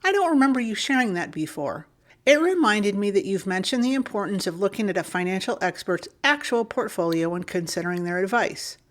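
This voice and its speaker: A woman's voice: mid-range voice, brisk. Woman reading a listener letter aloud. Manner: steady, clear reading of figures and tickers. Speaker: podcast co-host reading listener email.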